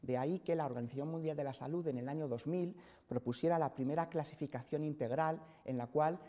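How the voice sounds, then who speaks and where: A middle-aged man from central Spain delivers a careful medical lecture, steady and explanatory, filling pauses with soft hesitations. His voice is mellow and dark. A long reverb from the hospital auditorium trails behind every phrase. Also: a little high. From Spain, Castilla-La Mancha. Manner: measured, explanatory, frequent "eh" fillers. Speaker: hematologist lecturer. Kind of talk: scientific seminar lecture.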